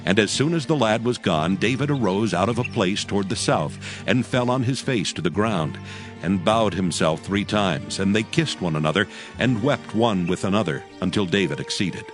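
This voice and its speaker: A man's voice: lowish voice, lively intonation, normal speed. A man giving a solemn, scripted dramatic narration of scripture in a storytelling manner. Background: music.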